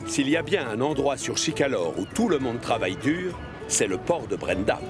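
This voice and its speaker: A man's voice: medium pitch, animated, normal speed. Male storyteller over music. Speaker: narrator. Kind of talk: scripted children's cartoon narration. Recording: clear music bed behind.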